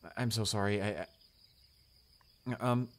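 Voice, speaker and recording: A man's voice: lowish voice, unhurried, natural voice. A man playing a shy, kind-hearted male student in a romantic audio roleplay, hesitant, stammering and apologetic. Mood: flustered, apologetic.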